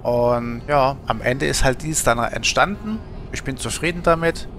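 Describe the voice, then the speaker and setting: Gravelly-voiced man chatting on a gaming stream. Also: medium pitch, measured pace, fairly bright tone, a little hiss or hum. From Germany. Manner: rambling, colloquial, thinking aloud. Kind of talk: casual livestream chat while gaming.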